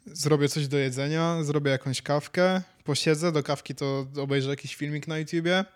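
A man's voice: on the high side, fairly even intonation, normal speed, clean tone. Young man in casual podcast conversation. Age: 20s. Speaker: podcast co-host. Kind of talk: casual chat.

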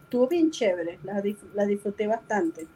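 A woman's voice: mid-range voice, lively intonation, moderate pace, warm tone, a little hiss or hum. A bubbly woman with a Venezuelan accent hosting a casual chat show in an effusive way. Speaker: show host.

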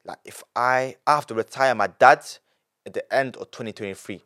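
A nineteen-year-old man, a podcast guest telling his story in an animated way, full of repetition and street slang. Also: mid-range voice, a little fast. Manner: animated, repeats himself, heavy street slang. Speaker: young entrepreneur guest.

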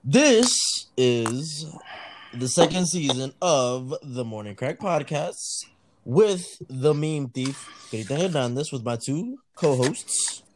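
A man's voice: medium pitch, lively intonation, slow, slight background noise. A man hosting a casual podcast, bantering and switching between English and Spanish slang. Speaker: podcast host.

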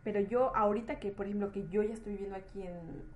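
A woman's voice: mid-range voice, fairly even intonation, quick pace, a little hiss or hum. A woman with a Latin American accent hosting a podcast and interviewing in a casual, chatty way. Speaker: podcast host.

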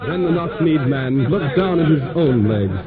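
A man's voice: fairly high pitch, expressive, normal speed. A man narrating an old radio drama in a solemn, rhythmic, dramatic cadence. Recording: tinny old radio recording, loud music. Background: loud music and crowd.

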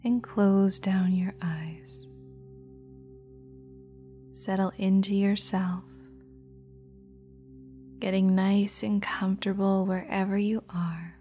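A woman guiding a meditation in a soft, slow, half-whispered voice. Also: medium pitch, steady tone, faint music behind. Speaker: meditation guide. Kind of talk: guided meditation.

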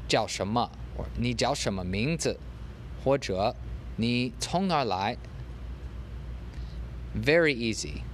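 Male podcast tutor, repeats phrases patiently, unhurried pace and a lively, rising-and-falling voice. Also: medium pitch, a little hiss or hum. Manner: repeats example phrases slowly for learners. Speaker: language teacher. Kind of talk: podcast language lesson.

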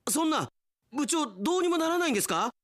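A man playing an earnest, sentimental young railway station worker in a theatrical, expressive radio drama style. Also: very high-pitched, quick pace, pitched above the performer's own voice, exaggerated voice. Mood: shocked, pleading.

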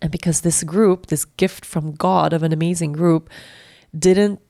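Woman, Swedish accent, podcast host, candid and halting, fry-laden lower voice. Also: fairly even intonation, dry recording, clean studio sound. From Sweden. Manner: candid, halting, self-reflective with frequent restarts and soft laughs. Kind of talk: personal reflective monologue.

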